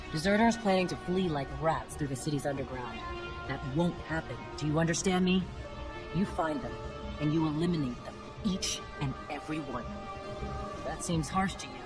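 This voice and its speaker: A hard, commanding military captain played by a woman in a game cutscene. She gives clipped orders with total authority. Clear music plays behind her. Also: deep voice, natural voice. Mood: stern, threatening. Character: stern commanding female military captain, ruthless and brisk. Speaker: military commander briefing soldiers. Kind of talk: video game cutscene acting.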